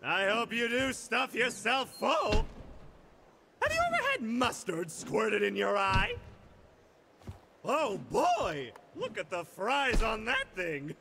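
A man voicing a zany, chirpy hamburger mascot in a video game, squeaky with a gravelly edge and an over-the-top, exclamatory showman delivery. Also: wildly swooping pitch, slow pace, background noise, heavily acted. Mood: zany, cheerful. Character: manic, zany, chirpy cartoon hamburger fast-food mascot warrior. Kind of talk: video game character voice lines.

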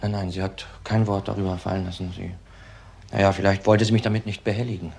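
An actor playing an agitated, evasive husband in his thirties in a crime drama, speaking haltingly and repeating words. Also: low-pitched, flat delivery, vocal fry, a little mellow. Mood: evasive, subdued. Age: 30s. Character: young widower husband, agitated, evasive under questioning. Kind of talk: German crime drama acting.

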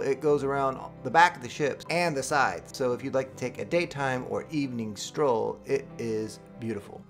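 Upbeat man giving a walking tour. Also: mid-range voice. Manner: upbeat, salesy, conversational. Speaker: cruise travel agent giving a ship tour.

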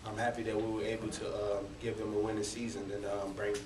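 A college basketball player in his early twenties answers press conference questions in an earnest, hesitant way with frequent pauses. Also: fairly low pitch, flat delivery. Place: indoor press conference room. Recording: roomy, distant, noisy press room recording.

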